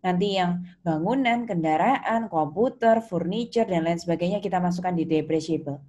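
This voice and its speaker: Female Indonesian lecturer, pitch a touch low, conversational explanatory delivery, often turning to the students with questions. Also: moderate pace, mellow. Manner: conversational, checks understanding with questions. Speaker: accounting lecturer.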